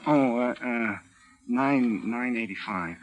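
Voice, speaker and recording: A man's voice: medium pitch, takes it slowly, lightly acted. A man playing a shady, wary garage owner in a 1940s radio crime drama, guarded and businesslike. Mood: nervous.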